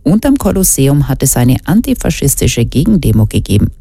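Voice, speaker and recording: Female radio news anchor, very deep mellow voice, scripted bulletin delivery, dry close sound. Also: big pitch swings, a little fast, vocal fry, husky, no echo.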